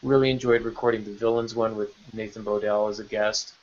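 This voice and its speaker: A man's voice: on the low side, steady tone, brisk, darkish tone, highs cut off. A man hosting a podcast in a casual, enthusiastic and encouraging way. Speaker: podcast host.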